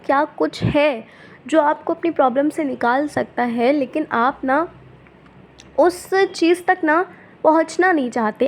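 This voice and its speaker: A woman tarot reader with a sore throat, speaking softly and gently, often checking in with her viewers. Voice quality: soft and low-volume.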